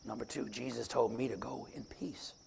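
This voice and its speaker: A middle-aged man with a raspy voice, a deacon preaching a sermon and reciting scripture from memory. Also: mid-range voice, steady tone, moderate pace, a little mellow, a little hiss or hum.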